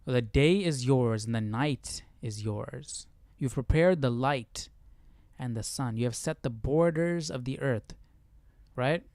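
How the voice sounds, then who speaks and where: Casual man with a clear voice. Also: mid-range voice, unhurried, darkish tone. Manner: informal, often checks in with "right?". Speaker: livestream host. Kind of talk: casual Bible study livestream commentary.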